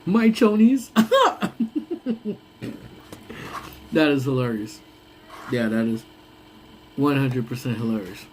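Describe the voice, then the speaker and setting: A man's voice: medium pitch, big pitch swings, slow pace, pauses often, slight background noise. A young man hosting a casual lunch-break live stream, rambling and laughing often, with a voice that sounds congested. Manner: rambling, laughs often, trails off mid-thought.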